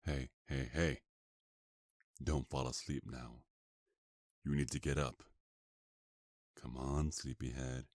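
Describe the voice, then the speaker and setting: Guy doing a creepy seductive vampire mob boss for asmr, super deep voice, close dry sound, mocking and possessive. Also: fairly even intonation, dry recording. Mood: coaxing. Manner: slow, drawn-out, taunting, intimate murmur. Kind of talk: ASMR roleplay acting.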